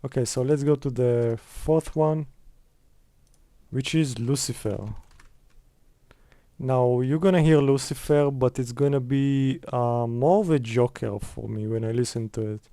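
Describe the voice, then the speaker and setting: A man's voice: mid-range voice, measured pace, mellow, no echo. A man presenting a casual step-by-step screen tutorial in a conversational way.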